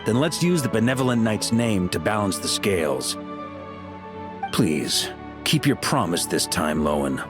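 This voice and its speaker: A man playing a stern but humane knight grandmaster in a video game, measured and commanding. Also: lowish voice, very animated, over music. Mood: firm, compassionate.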